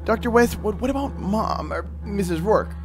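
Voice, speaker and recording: A man's voice: sits high, lively intonation, moderate pace. A man playing an anxious, naive young assistant in an audio drama, hesitant and full of worried questions.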